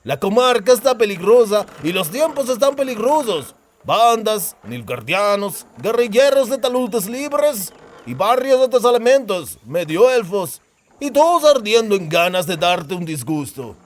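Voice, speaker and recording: A spiteful, grasping dwarf from a fantasy audiobook. The voice sits far higher than ordinary male speech. He haggles slyly in coarse slang, always needling for profit. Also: sing-song, measured pace. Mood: sly, menacing.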